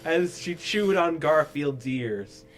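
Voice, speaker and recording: A man's voice: fairly high pitch, expressive, takes it slowly. A man giving a mock-serious, theatrical comedic reading of a story as narrator. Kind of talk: dramatic comedic reading of fan fiction. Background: music.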